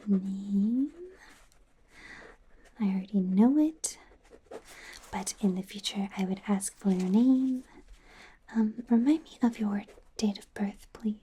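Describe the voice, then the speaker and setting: A woman playing an awkward, gentle, rambling werewolf doctor in a whispered ASMR medical roleplay, flustered and often correcting herself. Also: medium pitch, slow, long pauses. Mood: focused, soft. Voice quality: breathy whisper. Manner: flustered, self-correcting, giggly, over-explaining.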